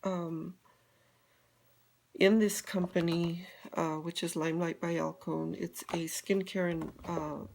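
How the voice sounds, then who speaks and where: A woman's voice: on the low side, level tone, slow, gravelly, darkish tone. An older woman from Colorado chatting casually on a live video, rambling with many fillers and restarts. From Colorado, USA. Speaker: beauty guide vlogger.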